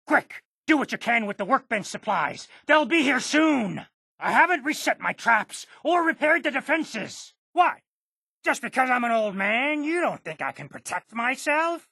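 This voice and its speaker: A cantankerous old man from a video game, stubborn and sarcastic. His voice is gravelly and hoarse-edged, and it swings widely in pitch as he bristles with indignation. He takes his time over his words. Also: very animated, a little slow, bright, forceful tone, no echo, clean studio sound, heavily acted. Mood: agitated, defiant. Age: elderly. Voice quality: gravelly, raspy. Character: stubborn, cantankerous old hermit uncle guarding his homestead. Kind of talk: video game character dialogue.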